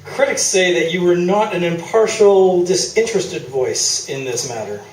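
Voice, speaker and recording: A man plays a sardonic interviewer in a comic radio play, formal and pointed as he needles. The voice is slightly high, caught from afar in an echoey stage space with faint noise. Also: lively intonation, moderate pace. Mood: probing. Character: dry, needling male interviewer grilling a guest. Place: small theatre stage, live performance. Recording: echoey stage, distant noisy camera mic.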